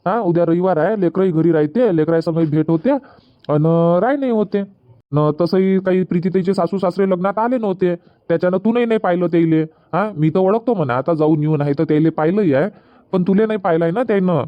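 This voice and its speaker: A man's voice: sits high, brisk, dry recording, highs cut off, close to an everyday voice. A man voicing a young husband in a comedy cartoon, explaining plans at length with a Varhadi Marathi accent. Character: young husband Suraj, easygoing son.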